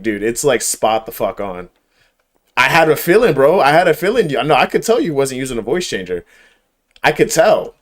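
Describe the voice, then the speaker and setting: A man livestreaming a game and chatting casually, with slangy, profane, emphatic speech and a habit of repeating himself. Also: mid-range voice. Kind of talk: casual chat while gaming.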